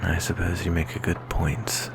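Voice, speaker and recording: A man playing a melancholy, insecure vampire boyfriend in an ASMR roleplay, speaking softly and hesitantly in a gravelly whisper. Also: sits low, little pitch movement, background noise. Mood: weary, sad. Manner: soft, hesitant, intimate, slow with pauses.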